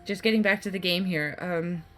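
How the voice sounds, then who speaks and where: A woman's voice: lowish voice, a little fast, fairly bright tone. A woman doing casual gameplay commentary as a YouTuber, rambling along in a chatty, enthusiastic way. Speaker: gaming YouTuber. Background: game music.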